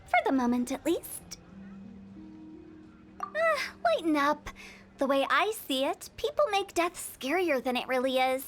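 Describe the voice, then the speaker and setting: Hu Tao, mischievous young funeral director. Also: female voice, high-pitched, big pitch swings, a little slow, pauses often, a bit bright, soft background music, full character voice. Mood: playful. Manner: teasing, joking, with sly afterthoughts. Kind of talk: video game voice acting.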